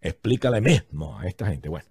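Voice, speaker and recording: Guy telling a funny story, really animated, kinda mellow voice, dry close sound. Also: low-pitched, a little fast, breathy edge, no echo. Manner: animated storyteller, acts out other people's remarks. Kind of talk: casual comedic storytelling.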